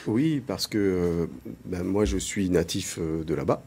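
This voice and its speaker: Mellow low man, enthusiastic guest. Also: animated, measured pace, slightly rough. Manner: enthusiastic, with many fillers. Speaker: panel guest. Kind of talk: studio panel discussion.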